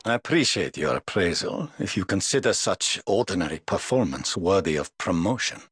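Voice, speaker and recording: A weary, husky old-guard knight. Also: male voice, low voice, lively intonation, a bit bright, exaggerated voice. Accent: English. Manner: dry, world-weary, measured. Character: weary aloof middle-aged male knight. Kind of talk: game voice lines.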